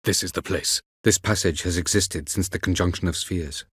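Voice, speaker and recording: A cold, haughty elven mage from a fantasy game. His voice sits low and is recorded close and dry. He speaks in measured, formal, archaic phrases with a condescending edge. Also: slightly rough, no echo. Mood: urgent, commanding. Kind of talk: video game character dialogue.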